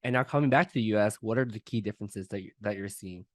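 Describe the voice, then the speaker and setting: A man's voice: fairly low pitch, quick pace, no echo, clean studio sound. A man hosting a podcast, asking questions casually with a lot of "like" fillers. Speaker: podcast host.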